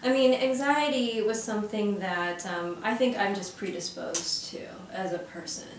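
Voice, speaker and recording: A woman's voice: mid-range voice, normal speed, noisy background. Young woman, from Arizona, chatty, filler-heavy, distant mic, very echoey office. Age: young adult. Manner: chatty, lots of fillers, laughs easily. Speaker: guest. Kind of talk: casual interview conversation. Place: her office.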